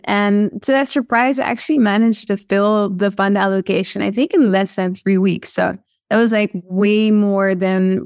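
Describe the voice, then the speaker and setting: A woman's voice: mid-range voice, no room sound, studio quality. A woman with a Dutch accent, a startup founder answering podcast questions, upbeat and laying things out step by step. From Netherlands. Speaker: guest, startup CEO. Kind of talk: podcast interview.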